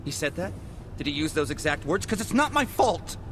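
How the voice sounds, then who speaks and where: Jittery, swooping forensic investigator. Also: male voice, a little high, brisk, a little hiss or hum. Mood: agitated, indignant. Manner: excitable, rambling, hesitant. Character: jittery, chatty, defensive male forensic investigator. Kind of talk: video game cutscene acting.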